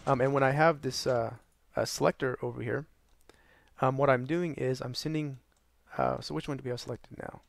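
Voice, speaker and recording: A young man narrating a gaming tutorial while losing his voice, hoarse and tired, rambling with many ums and uhs. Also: fairly even intonation, normal speed, no echo. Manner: tired, low energy. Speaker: gaming channel host. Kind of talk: casual tutorial narration over gameplay.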